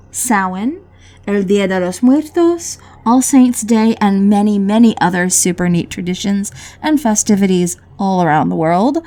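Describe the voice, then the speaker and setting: A woman's voice: medium pitch, darkish tone. A woman hosting and narrating in a scripted, theatrical and whimsical storytelling style, with playful delivery. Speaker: YouTube host and story narrator. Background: background music.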